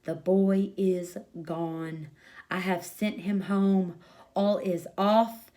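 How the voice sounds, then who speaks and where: A woman's voice: lowish voice, fairly even intonation, very slow, mellow. A woman voicing an exasperated, beleaguered grown male crook with pompous, folksy wording in a storybook reading. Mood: weary, defeated. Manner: pompous, wordy, folksy speech.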